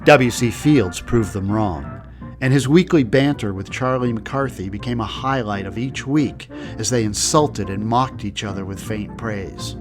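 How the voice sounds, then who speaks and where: Brief: measured male narrator, scripted storytelling, music playing beneath. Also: on the low side. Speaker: documentary narrator.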